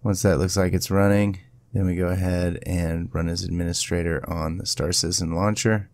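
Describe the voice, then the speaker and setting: A man presenting a casual step-by-step software tutorial in an informal, instructional way. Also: sits low, level tone, normal speed, no room sound.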